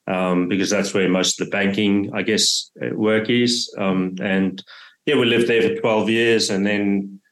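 A low-pitched man from South Africa, in his forties, whose first language is Afrikaans. He talks through his story loosely, full of fillers and restarts. His voice carries a noticeable room echo. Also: a little slow. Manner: relaxed, many fillers and restarts. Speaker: podcast guest. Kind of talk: casual storytelling. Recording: roomy echo.

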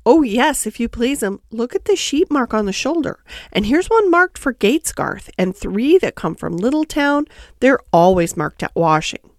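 A woman's voice: on the high side, sing-song, moderate pace, dry recording, clean studio sound. A woman voicing an eager, polite, stout little hedgehog washerwoman from a children's storybook, chatty, fussy and deferential.

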